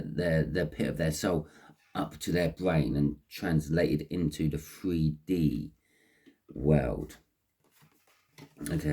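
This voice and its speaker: A man with a croaky voice and a head cold, giving an unscripted tarot reading in a halting, repetitive way. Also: a bass voice, darkish tone. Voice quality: croaky, husky. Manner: halting, repeating phrases as he explains. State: has a cold with a runny nose. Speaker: tarot reader and host.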